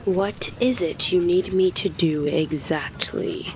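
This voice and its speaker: A woman playing a devoted, resolute consort in a fantasy audio drama, speaking in an earnest, measured way. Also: lowish voice, slight background noise. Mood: questioning.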